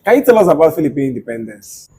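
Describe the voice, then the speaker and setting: Young man, from Nigeria, mellow warm voice, slightly high, casual chat host, faint noise. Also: animated, racing, creaky. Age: young adult. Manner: curious, teasing questions.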